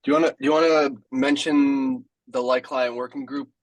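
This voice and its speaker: A man's voice: mid-range voice, fairly even intonation, normal speed, low-quality recording. A young man casually moderating a meeting on a video call, handing over to speakers. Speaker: meeting host.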